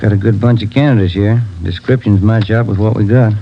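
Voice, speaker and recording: A man's voice: on the low side, steady tone, brisk, lightly acted. An actor playing a dry, wisecracking male police detective partner in a 1950s radio drama. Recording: dull old radio transcription with faint hiss.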